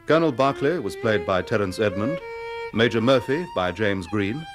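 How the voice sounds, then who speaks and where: A man reading closing credits in a formal, measured broadcast style. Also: fairly low pitch, animated. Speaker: announcer. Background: violin music.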